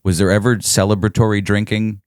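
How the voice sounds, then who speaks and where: A man's voice: on the low side, little pitch movement, brisk, darkish tone, no echo, studio quality. A man hosting a podcast, asking probing questions in a casual, joking way. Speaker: podcast host. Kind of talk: casual interview chat.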